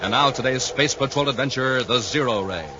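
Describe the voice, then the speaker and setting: A man's voice: medium pitch, a little fast. A man announcing and narrating in punchy, emphatic 1950s radio style, dramatic in his scripted delivery. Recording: muffled, slightly noisy 1950s radio recording.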